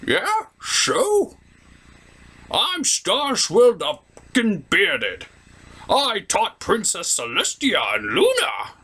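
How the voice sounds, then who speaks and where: Male performer as cranky old wizard pony, husky and high, wildly swooping pitch, boastful, foul-mouthed, comedy puppet show. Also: wildly swooping pitch, extremely slow, sharp tone, heavily acted. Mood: cranky, defiant. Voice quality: raspy.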